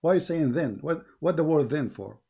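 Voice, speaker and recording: A man with an Arabic accent, who grew up speaking Arabic, hosts a heated call-in debate. His voice sits a little high for a man, and he keeps pressing the caller for details. He often pauses to repeat "okay" before asking the next question. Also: expressive, dark tone, slightly rough. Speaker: debate host.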